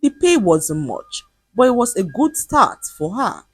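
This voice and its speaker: A woman narrating a scripted folktale in a measured, expressive way. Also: medium pitch, breathy edge, dry recording, soft background music. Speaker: storyteller.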